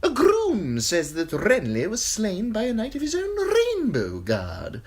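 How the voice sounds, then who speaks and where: A woman voicing a sly, simpering male eunuch courtier with a high, tittering voice, in an audiobook. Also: huge pitch swings, unhurried, breathy edge, exaggerated voice. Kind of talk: audiobook character voice.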